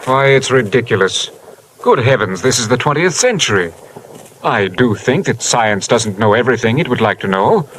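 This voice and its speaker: A man's voice: mid-range voice, expressive, normal speed, fairly bright tone, lightly acted, poor audio. A man playing a formal, earnest doctor. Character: proper, educated male doctor. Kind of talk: 1950s radio drama acting. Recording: old radio broadcast with hiss.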